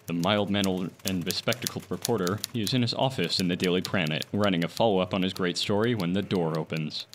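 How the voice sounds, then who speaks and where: A man's voice: low-pitched, fast talker, background noise. A man narrating as announcer of an old-time radio adventure serial, in a dramatic scripted style.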